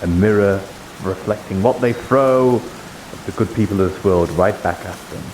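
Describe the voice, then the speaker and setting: A low-voiced, devout holy warrior, played by a man in a live fantasy roleplay game. He speaks plainly and earnestly, with solemn, halting phrases and a loyal temper. A faint but steady hiss sits behind the voice. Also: lightly acted, low-quality recording. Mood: fervent. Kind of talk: improvised in-character fantasy roleplay. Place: live online VR roleplay stream.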